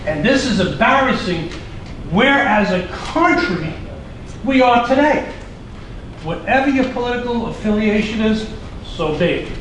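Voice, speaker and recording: A middle-aged man, asking an emotional, rambling question from the floor at a symposium, repeating himself as he goes. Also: sits high. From United States. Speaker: audience member asking a question. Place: large conference hall. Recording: echoey hall from far off, noisy.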